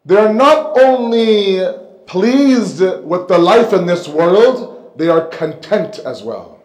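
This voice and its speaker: A man delivers a religious lecture in a rather high voice. He speaks emphatically, repeating phrases for weight and posing rhetorical questions. The sound is noticeably echoey, picked up on a microphone in a hall. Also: lively intonation, slow pace, darkish tone. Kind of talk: sermon lecture. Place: indoor mosque hall, seated at the pulpit. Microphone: microphone on a stand close in front.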